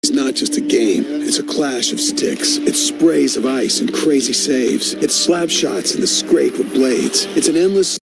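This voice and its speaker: A man reading a scripted radio advert with measured, dramatic phrasing. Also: mid-range voice, gravelly, rough recording. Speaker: commercial announcer. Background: music bed.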